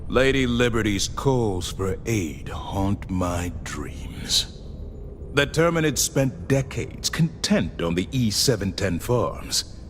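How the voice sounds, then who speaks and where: An actor playing a solemn, zealous military officer from a sci-fi game, making grandiose, stately pronouncements. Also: male voice, fairly low pitch, animated, takes it slowly, a little hiss or hum. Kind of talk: scripted dramatic game voicelines, oratory. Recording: long hall reverb, music behind. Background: music.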